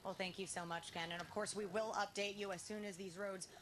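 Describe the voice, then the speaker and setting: A woman's voice: mid-range voice, steady tone, fairly quick, background noise. A woman television field reporter delivering a live news report outdoors at night. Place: outdoors at night at a crash scene.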